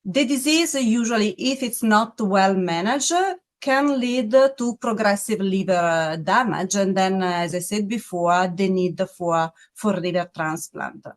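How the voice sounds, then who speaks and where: Italian-accented woman, measured with pauses. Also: medium pitch. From Italy. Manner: measured, with frequent "uh" pauses and searching restarts. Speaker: pharmaceutical medical officer. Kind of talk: interview answers on medicine.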